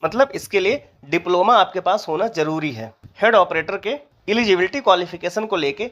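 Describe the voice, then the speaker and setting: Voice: mid-range voice, brisk, fairly bright tone, muffled recording. A presenter giving an informational explainer, methodically reading out details and figures. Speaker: exam update presenter.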